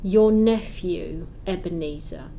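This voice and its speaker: A woman playing a calm Christmas spirit, questioning in a measured, solemn way in an audio play. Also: fairly low pitch, vocal fry. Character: calm, probing Christmas spirit guiding an old miser.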